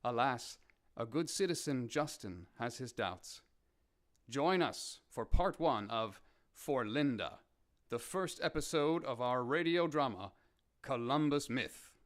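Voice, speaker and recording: A man's voice: medium pitch, expressive, slow. A man acting as a solemn, theatrical narrator introducing a radio drama with poetic scripted narration. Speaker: announcer narrator.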